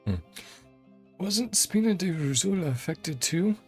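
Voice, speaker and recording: A man's voice: sits high, animated, measured pace, a little mellow. A man streaming a game, chatting casually and reading the dialogue aloud in his own voice with frequent chuckles. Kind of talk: casual live-stream commentary. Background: faint game music.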